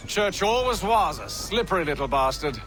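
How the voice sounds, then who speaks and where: Haughty, sardonic gentleman villain. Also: sits high, big pitch swings, moderate pace. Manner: sardonic, biting. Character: haughty, sardonic middle-aged English gentleman Templar, a father. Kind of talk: dramatic video game acting. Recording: loud music and action noise behind.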